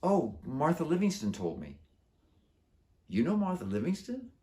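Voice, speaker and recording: A man's voice: a little high, wildly swooping pitch, measured pace, a little mellow, voice pushed up. A man voicing a curious, earnest middle-school boy werewolf in a children's storybook, polite, questioning and a little hesitant. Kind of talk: children's book character voice.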